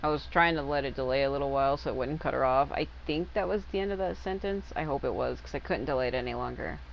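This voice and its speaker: A woman's voice: rumbling low, quick pace. A woman giving casual, blunt and sweary commentary as she plays a video game. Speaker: gamer narrating her playthrough. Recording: clearly noisy background.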